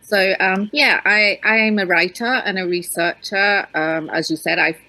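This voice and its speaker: A woman's voice: medium pitch, fairly even intonation, measured pace, sharp tone, no room sound, low-quality recording. A woman with a hybrid northern English accent, raised in Yorkshire, speaking as an interview guest and author, hesitant with many ums and restarts. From Yorkshire, England. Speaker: author and researcher. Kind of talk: interview guest answering questions.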